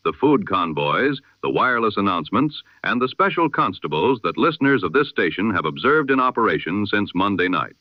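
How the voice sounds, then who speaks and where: A man reading the news in the formal, measured manner of an old-time radio announcer. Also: lowish voice, dry recording. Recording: lo-fi, dull radio-style sound.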